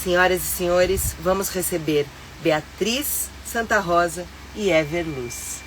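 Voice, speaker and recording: A woman's voice: fairly low pitch, slow, slight background noise, poor audio. A middle-aged Brazilian woman with a stuffy, nasal voice from a cold, hosting a casual live stream from inside her motorhome. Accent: Brazilian Portuguese. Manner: chatty and upbeat, with frequent fillers. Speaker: live-stream host and tour guide.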